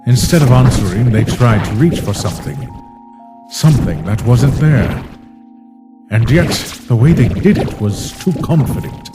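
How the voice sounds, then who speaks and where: A man voicing a weary, brooding ancient being who narrates a comic dub in a solemn, measured way. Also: mid-range voice, expressive, lightly acted. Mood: pensive, guarded. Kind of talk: scripted first-person comic dub narration. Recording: voice under loud music.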